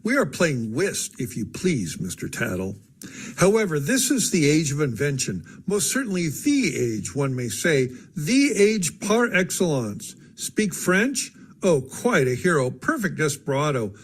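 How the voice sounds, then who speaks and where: A man's voice: a little high, sing-song, unhurried, husky, heavily acted. A man voicing a flighty society widow who chatters and jumps from topic to topic. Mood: chattering. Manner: scatterbrained, jumps between topics.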